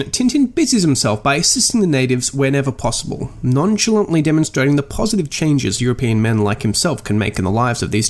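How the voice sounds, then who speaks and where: A man presents a scripted essay in a mid-range voice. His intonation moves up and down a great deal, while his delivery stays wry and understated. The phrasing is formal and articulate, like a prepared podcast narration. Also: mid-range voice.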